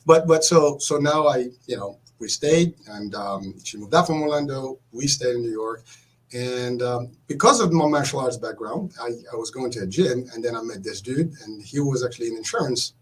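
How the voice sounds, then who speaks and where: A man in his fifties from Morocco, telling his life story in a casual chat, animated and repeating words as he goes. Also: medium pitch, moderate pace, low-quality recording.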